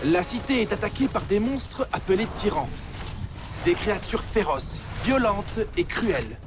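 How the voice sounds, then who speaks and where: Young boy recruit, eager, impulsive, stammering, animated film dub, loud musical backing. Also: mid-range voice, measured pace, loud music behind the voice. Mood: earnest, bold. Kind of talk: dubbed animated film acting.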